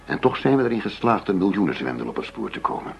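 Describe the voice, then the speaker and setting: An actor in a Dutch radio play playing a blustering, fed-up police chief who talks bluntly, with sarcasm and combative demands. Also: male voice, deep voice, very animated, fast talker, vocal fry, faint music behind, full character voice. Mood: angry, demanding. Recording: dull, muffled radio drama recording.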